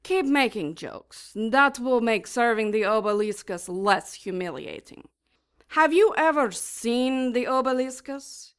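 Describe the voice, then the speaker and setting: An actress playing a taunting, smugly sadistic female demon in an audio drama, making mock-polite threats with deliberate menace. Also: a little high, expressive, fairly bright tone, clear, resonant tone.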